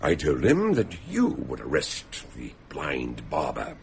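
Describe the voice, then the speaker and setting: Genial old-school detective doctor, gravelly, voice swoops all over, radio play. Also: male voice, a bass voice, huge pitch swings, a little slow, slight background noise, exaggerated voice. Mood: genial, explanatory. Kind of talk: radio drama acting.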